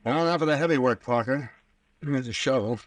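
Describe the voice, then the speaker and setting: An actor playing a tough, sardonic police captain in an old-time radio horror drama, with a dry, commanding manner. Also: male voice, medium pitch, brisk, close to an everyday voice. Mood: brisk, commanding. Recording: vintage radio recording, distant mic.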